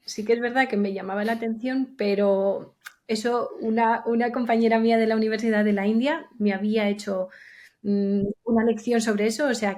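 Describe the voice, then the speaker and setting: Mid-pitched woman, casual talk. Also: darkish tone. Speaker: podcast guest, recruiter. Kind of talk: casual conversational anecdotes.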